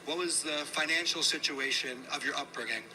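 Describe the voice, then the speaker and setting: A man interviewing a guest before a room audience in a casual, flattering, chatty way. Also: on the high side, a little fast. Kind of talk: onstage conversational interview. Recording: noisy room ambience.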